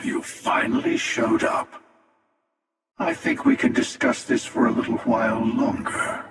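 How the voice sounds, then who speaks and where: Video game hunter: world-weary and menacing, drawn-out delivery, crackling rasp, theatrical taunts, otherworldly echo. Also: male voice, on the high side, fairly bright tone, heavily acted. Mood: musing. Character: menacing, world-weary male interdimensional hunter, smug and amused. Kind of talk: video game character dialogue. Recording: reverberant, distant echoing voice.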